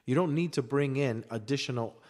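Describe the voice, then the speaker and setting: Man, medium pitch, emphatic, insistent, argumentative, informal debate, hall-like reverb. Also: fairly quick, a little mellow. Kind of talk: casual debate conversation. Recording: reverberant hall-like room echo.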